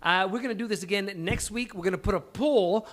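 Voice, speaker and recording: A man's voice: sits high, a little fast. A man hosting a live stage event with casual, chatty banter, explaining things in a loose, rambling way. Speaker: host of a live table-read show. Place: small live venue, on stage.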